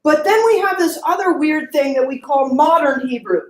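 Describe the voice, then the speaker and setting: A woman's voice: sits high, moderate pace, echoey room. A woman giving a classroom lecture, often asking the class questions and checking in with "okay?" and "right?".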